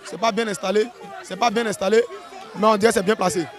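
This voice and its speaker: A man's voice: high-pitched, fairly even intonation, quick pace. A man with an Ivorian accent giving chatty, colloquial live fight commentary, often repeating his phrases. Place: live street fight event. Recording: crowd and music around voice.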